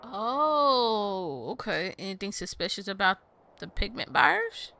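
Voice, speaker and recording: Bright-voiced woman playing a game. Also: mid-range voice, sing-song, slow, creaky. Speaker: gaming YouTuber. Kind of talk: casual reactions and reading aloud during gameplay.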